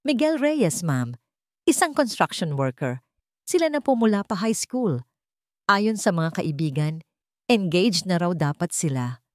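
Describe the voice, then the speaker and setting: A woman's voice: lowish voice, big pitch swings, unhurried, pauses often, darkish tone, no room sound, clean studio sound. A woman voicing a polite private investigator who reports matter-of-factly, with a Filipino accent. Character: deferential private investigator reporting to wealthy client.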